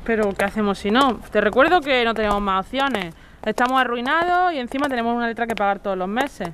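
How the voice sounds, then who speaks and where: A woman's voice: a little high, expressive, quick pace, fairly bright tone, a little hiss or hum. A woman playing an exasperated, worried wife in a radio drama, insistent and reproachful. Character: exasperated, worried wife, wary of others' troubles.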